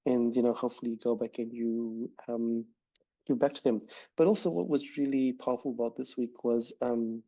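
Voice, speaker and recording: A man hosting a casual podcast, his voice dark and mellow. He talks in a loose, rambling way, full of ums and restarts, and the recording sounds slightly dull and lo-fi. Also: medium pitch, fairly even intonation, moderate pace. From immigrant to New Zealand. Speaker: podcast host. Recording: dull lo-fi audio.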